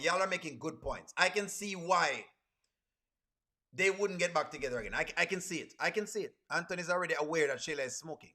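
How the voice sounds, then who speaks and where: A man with a Caribbean accent and a fairly high, very bright, forceful voice. He chats casually as a livestream host reacting along with viewers, and often says a phrase over again for emphasis.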